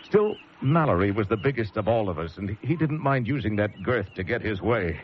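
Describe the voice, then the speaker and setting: An actor playing a wry, world-weary American lighthouse keeper, narrating a horror tale with deliberate, dramatic delivery. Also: male voice, on the low side, big pitch swings, a little hiss or hum. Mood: grim, suspenseful. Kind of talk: first-person radio drama narration. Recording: muffled radio drama recording with music.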